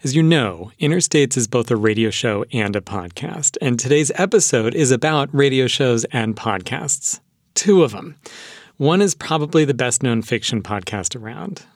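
A man's voice: medium pitch, expressive, moderate pace, dry recording, studio quality. An American man hosting a radio show in a conversational, lightly scripted style. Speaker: radio host. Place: radio studio.

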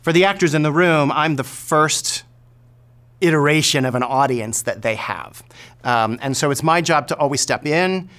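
A man's voice: on the high side, normal speed, fairly bright tone, smooth. A man speaking reflectively in an interview as a theater director, thoughtful and hesitant.

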